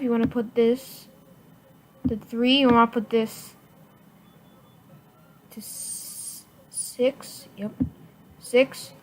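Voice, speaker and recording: High-voiced woman giving a halting, noisy tutorial. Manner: informal, halting step-by-step instructions. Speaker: tutorial presenter. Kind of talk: casual screen-recorded software tutorial. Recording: noisy home computer mic, clicks.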